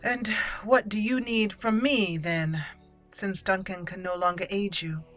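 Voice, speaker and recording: A woman voicing a resolute female elf mage in a fantasy game roleplay, speaking formally and with measured solemnity. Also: mid-range voice, a bit bright, faint music behind, close to an everyday voice. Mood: formal, guarded.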